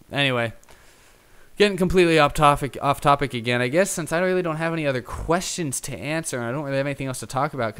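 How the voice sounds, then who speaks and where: Clear-voiced young man, chatty and rambling. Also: medium pitch, a bit bright. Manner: rambling, chatty, self-deprecating. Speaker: host of a YouTube racing talk show. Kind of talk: casual unscripted solo chat.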